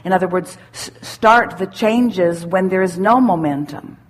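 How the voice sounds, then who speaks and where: A woman giving spiritual self-help teaching to a questioner, assured and deliberate, repeating phrases for emphasis. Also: lowish voice. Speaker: speaker leading a question-and-answer workshop.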